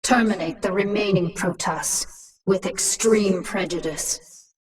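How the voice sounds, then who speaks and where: A woman's voice: mid-range voice, slow pace, recorded from a distance, lightly acted. A woman playing a cruel, imperious alien swarm queen in a video game, commanding and taunting. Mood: cold, commanding.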